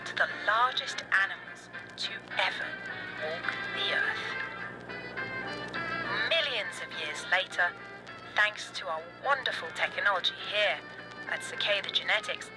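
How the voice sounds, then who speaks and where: Performer as formal news and training-tape narrator, measured scripted read, medium pitch, music bed. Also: lively intonation, close to an everyday voice, low-quality recording. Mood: instructional. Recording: loud music under narration.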